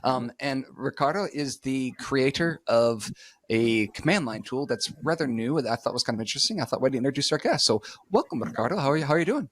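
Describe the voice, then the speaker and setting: A man's voice: mid-range voice, animated, fairly quick, dry recording. A man hosting a casual tech podcast, joking and upbeat, with filler words. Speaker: podcast host.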